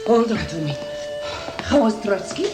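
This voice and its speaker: An actress playing a brisk, teasing Russian wife in a radio drama, blunt and protective, with a Russian accent. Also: female voice, medium pitch, lively intonation, over loud music, close to an everyday voice. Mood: concerned. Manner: blunt, commanding, fondly mocking.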